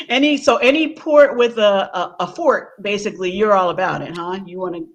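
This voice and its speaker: A woman hosting a casual livestream interview, chatty and quick to laugh. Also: medium pitch. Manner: chatty, laughs often, restarts a lot. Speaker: host.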